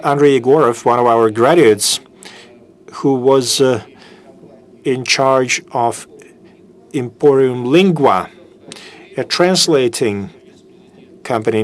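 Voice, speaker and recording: A man who heads a business school program, giving a formal, halting welcome speech into a microphone in a lecture room, pausing often on fillers. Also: mid-range voice. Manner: slow and halting, with many filler pauses. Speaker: business school program head introducing a guest speaker. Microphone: handheld microphone.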